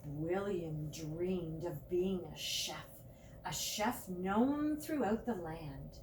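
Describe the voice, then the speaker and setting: A woman reading a children's picture book aloud at a library storytime, with drawn-out emphasis and chatty asides. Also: lowish voice, animated. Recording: roomy, distant mic with faint noise.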